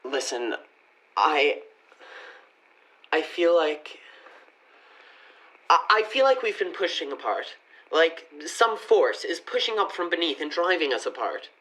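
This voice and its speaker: Radio play boyfriend, woman actor: low voice, weary and withdrawn, guarded, stammering, naturalistic delivery, fed up with his relationship. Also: big pitch swings, unhurried, long pauses, fairly bright tone, heavily acted, poor audio. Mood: hesitant, uneasy.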